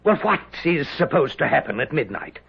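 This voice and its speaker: An actor playing an imperious, wry British general in a 1970s radio drama, commanding and theatrical. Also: male voice, on the high side, sing-song, normal speed, creaky, slightly rough, full character voice. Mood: wry, probing. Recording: dull lo-fi 1970s radio recording.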